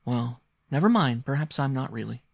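Audiobook mother, read by a man: disappointed, bitter, measured and dismissive with her child, close dry sound. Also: medium pitch, animated, creaky, no room sound, pitched above the performer's own voice. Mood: resigned, bitter. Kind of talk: literary audiobook character voice.

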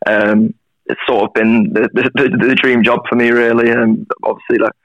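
A man in his twenties, a guest on a call, answering quickly and hedging with "sort of". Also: mid-range voice, flat delivery, no echo. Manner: quick, hedging with 'sort of'. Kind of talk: casual interview answers. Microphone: phone or call. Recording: dull call audio.

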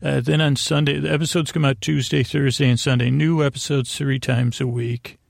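A man with a creaky, gravelly voice hosting a bedtime podcast in a meandering, halting, lulling monologue that repeats itself and trails off. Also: mid-range voice, level tone, dry recording, clean studio sound. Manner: lulling, soothing delivery. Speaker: podcast host. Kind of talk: rambling bedtime storytelling monologue.

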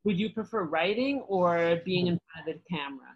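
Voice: mid-range voice, fairly quick, highs cut off. Earnest, thoughtful interviewer. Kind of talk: casual interview talk.

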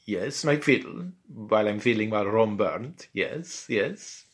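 A man's voice: on the low side, expressive, measured pace. A man voicing the curt, pompous ghost of a busy Roman emperor in a comic story. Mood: irritated. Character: busy, irritable male Roman emperor spirit.

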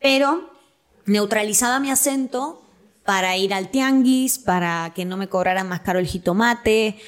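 A woman's voice: medium pitch, moderate pace. A young woman with an Argentine accent, a comedic actress telling stories animatedly as a podcast guest and acting out quoted dialogue. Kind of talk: casual interview chat.

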